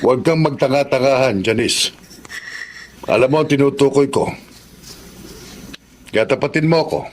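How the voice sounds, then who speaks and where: A man's voice: medium pitch, normal speed, a little hiss or hum, close to an everyday voice. A man playing a suspicious middle-aged uncle questioning someone pointedly in a radio drama. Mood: suspicious, stern. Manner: pointed, probing. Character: stern, suspicious uncle interrogating his niece. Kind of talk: Tagalog radio drama acting.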